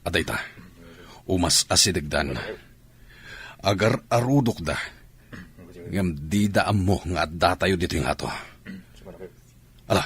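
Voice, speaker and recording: A man's voice: deep voice, measured pace, pauses often, a bit bright, a little hiss or hum. Man acting a firm, commanding military commander. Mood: stern, tactical. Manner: commanding, terse. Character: firm military commander leading troops into battle. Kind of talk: audio drama acting.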